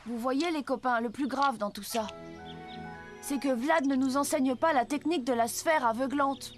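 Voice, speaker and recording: A woman's voice: sits high, moderate pace, over music, lightly acted. A woman voicing an earnest, determined child ninja pupil in a cartoon, speaking insistently and articulately. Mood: scheming. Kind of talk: French cartoon dub acting.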